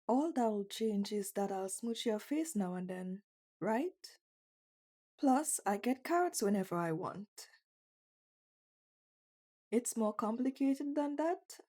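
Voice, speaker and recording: Brief: female performer as teasing young bunny girl for intimate ASMR roleplay; unhurried, warm, relaxed tone, blunt and playful. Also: on the high side. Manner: playful, blunt, questioning, intimate one-sided conversation. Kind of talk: ASMR roleplay audio drama.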